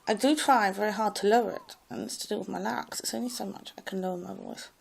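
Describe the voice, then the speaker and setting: A woman with a posh English public school accent and a slight clipped American edge, telling her own story in a loud, rambling way with many hesitations. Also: mid-range voice, steady tone, quick pace, vocal fry, slight background noise. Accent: posh English public school with a slight American Ivy League clip. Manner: hesitant, many fillers and restarts. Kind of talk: rambling personal monologue.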